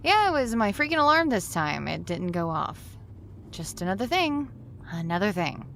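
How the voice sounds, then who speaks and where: Harried working woman, exasperated and expressive, audio drama, medium pitch, light background noise. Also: moderate pace, gravelly. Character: flustered, harried talk show woman, often late.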